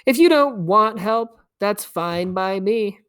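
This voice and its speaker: A woman's voice: mid-range voice, lively intonation, takes it slowly, smooth. A woman voicing a scrawny, grinning male stranger in a storybook, teasing and coaxing in a theatrical way. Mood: testy. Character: scrawny, grinning, wheedling stranger man, fast-talking trickster.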